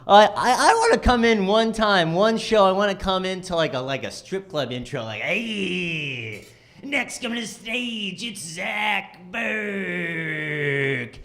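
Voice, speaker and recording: Comic, man; high, bouncy, loud stand-up delivery. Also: takes it slowly, echoey room. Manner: loud and excitable, bursts into shouting and singing. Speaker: stand-up comedian. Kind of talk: stand-up set.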